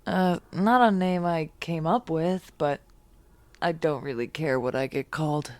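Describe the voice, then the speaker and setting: An actress playing a guarded, weary young vigilante superhero in an audio drama, speaking in a subdued, deliberate way. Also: female voice, deep voice, a little mellow, dry recording. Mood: guarded, hesitant.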